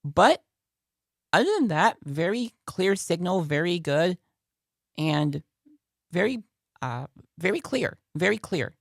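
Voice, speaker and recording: A woman's voice: fairly low pitch, lively intonation, takes it slowly, creaky, pauses often, no room sound, clean studio sound. A woman hosting a casual product review in a conversational way, often repeating phrases for emphasis. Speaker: video host and product reviewer.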